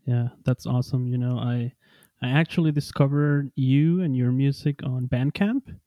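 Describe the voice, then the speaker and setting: Man, 40s, podcast host, non-native English, mellow voice, eager, stumbling restarts. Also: mid-range voice, no room sound. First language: not English. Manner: enthusiastic, halting, many restarts. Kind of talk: casual interview chat.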